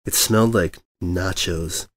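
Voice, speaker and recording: A man voicing an anxious, gossipy female newspaper columnist pony, speaking in a hushed, urgent, pointed way. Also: low-pitched, measured pace, pitched below the performer's own voice.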